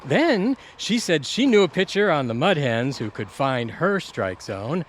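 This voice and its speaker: Womanizing sports buddy, male actor, higher-pitched and lively, blunt and jokey, audio comedy with faint noise. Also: unhurried. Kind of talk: comedic audio drama acting.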